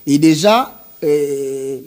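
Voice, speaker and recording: A man's voice: on the high side, very slow, a little mellow. A Congolese man with a Congolese French accent, a guest musician talking earnestly in an interview and often repeating words as he searches for them. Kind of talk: casual interview talk mixing French and Lingala.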